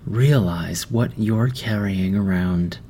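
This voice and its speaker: Slow, soft-spoken male meditation guide. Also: fairly low pitch, a little hiss or hum. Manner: slow, soft, soothing delivery with long pauses. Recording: music underneath.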